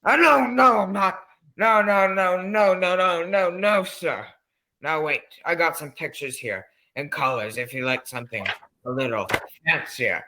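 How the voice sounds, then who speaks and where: A man's voice: fairly high pitch, measured pace, gravelly, sharp tone, full character voice, poor audio. A man playing an eager, polite, fussy old salesman in an old-time radio drama, folksy and repetitive in his talk. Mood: flustered, insistent.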